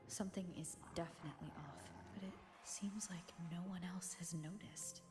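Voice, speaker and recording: A woman's voice: fairly low pitch, normal speed, natural voice. A woman voicing a watchful, introspective young heroine in a fantasy video game. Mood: pensive, uneasy. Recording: roomy reverb over game music.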